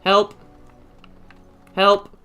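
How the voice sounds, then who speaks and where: A woman's voice: very slow, pushed and bright, soft background music. A woman giving casual, rambling and reflective game commentary as she thinks aloud through a playthrough. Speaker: gaming video host.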